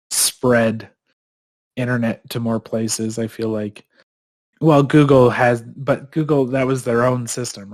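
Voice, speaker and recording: A man with a stuffed-up nose talks loosely as a podcast co-host, circling his point with fillers and restarts. His voice sits at an ordinary middle pitch for a man. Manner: rambling, many fillers and restarts. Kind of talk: casual chat.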